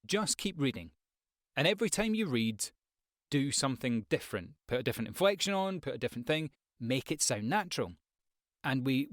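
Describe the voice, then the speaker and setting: Man, medium pitch, conversational tutorial delivery, rambling, expressive, close-miked, very dry sound. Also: no echo. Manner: rambling, expressive with acted examples. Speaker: voice-over artist and coach giving tips. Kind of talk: conversational tutorial talk to viewers.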